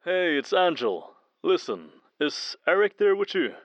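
A man's voice: a little high, big pitch swings, measured pace, no echo. A man acting as a friendly, eager audio technician and fan in an audio drama, chatty and a little hesitant, heard over a phone. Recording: muffled band-limited phone call, dry.

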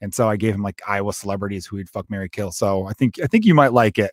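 A man's voice: fairly low pitch, fast talker, dry recording, clean studio sound. A man hosting a podcast interview, asking questions in a rambling, joking, self-deprecating way. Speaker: podcast host. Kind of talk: casual interview chat.

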